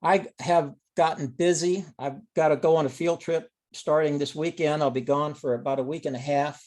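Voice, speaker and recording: Higher-voiced man explaining technical data. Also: steady tone, normal speed, darkish tone. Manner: explanatory, step by step. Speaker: presenter of experimental data. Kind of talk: informal technical presentation. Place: online teleconference call.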